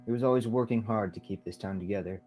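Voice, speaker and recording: A man's voice: fairly low pitch, fast talker, mellow, faint music behind. A young man reading scripted narration for a radio theatre play. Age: young adult.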